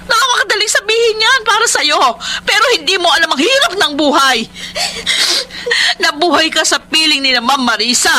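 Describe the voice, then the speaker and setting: A woman's voice: very high voice, animated, moderate pace, pushed and bright, exaggerated voice. A woman playing a bitter, hot-tempered Filipino mother hardened by poverty in a radio drama, scolding and emotional. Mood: angry, tearful.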